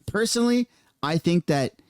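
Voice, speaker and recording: A man's voice: high voice, lively intonation, moderate pace, clear, resonant tone, no room sound, clean studio sound. A man ranting in a heated, casual reaction commentary over political clips, using slang.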